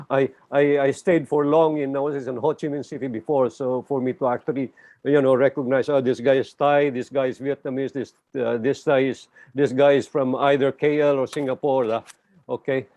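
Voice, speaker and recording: A man's voice: mid-range voice, fairly even intonation, normal speed, warm tone, dry recording. A man moderating a panel discussion, talking in a hesitant, conversational way with many fillers.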